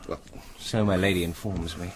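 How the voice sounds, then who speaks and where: A man playing a brisk, good-humoured young noble deputy sheriff in a radio drama. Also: low-pitched, steady tone, slight background noise, lightly acted. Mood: friendly, teasing.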